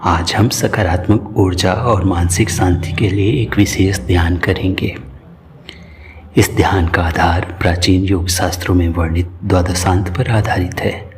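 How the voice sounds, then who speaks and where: A man narrating a guided meditation in a calm, soothing, unhurried way, with soft breathy edges to his voice. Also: sits low, a little hiss or hum.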